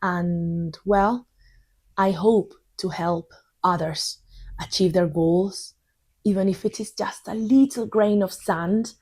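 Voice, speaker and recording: A woman's voice: medium pitch, takes it slowly, a little mellow. A young woman from southern Spain, a native Spanish speaker, talks through an informal English lesson in a thoughtful, rambling way with many fillers. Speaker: English teacher.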